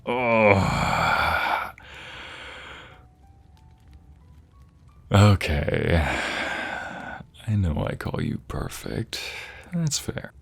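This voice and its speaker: A man playing a doting, tender husband in an intimate ASMR roleplay, speaking softly and often slipping into breathy whispers. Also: low-pitched, animated, very slow, heavily acted. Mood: playful, flustered. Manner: soft, breathy, lingering delivery dropping into whispers. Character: doting, tender husband comforting his sweetheart.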